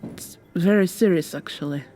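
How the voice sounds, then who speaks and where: A woman performs a gentle, anxious medic in a vampire roleplay. She sounds earnest and hesitant, with a mellow tone and a faint hiss of noise behind her. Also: lowish voice, normal speed, close to an everyday voice. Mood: concerned, earnest. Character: anxious, gentle, caring medic helping a captive escape. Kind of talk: ASMR roleplay.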